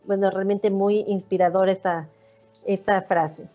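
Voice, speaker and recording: Middle-aged woman, mellow tone, steady formal presentation, muffled lo-fi audio. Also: medium pitch, faint music behind. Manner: measured, reads points in order. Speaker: national coordinator of hospital classrooms. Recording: dull lo-fi video call audio.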